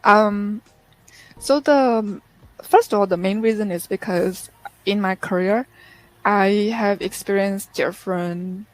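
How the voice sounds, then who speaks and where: A woman's voice: mid-range voice, slow, poor audio. Earnest woman on a video call. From Vietnam. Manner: earnest, reflective storytelling. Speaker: interview guest. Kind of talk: casual interview chat.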